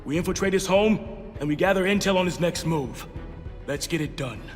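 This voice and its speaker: An actor playing a determined special-forces team leader in a video game, grave and commanding, with clipped military delivery over a cinematic score. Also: male voice, sits high, brisk, faint music behind, lightly acted.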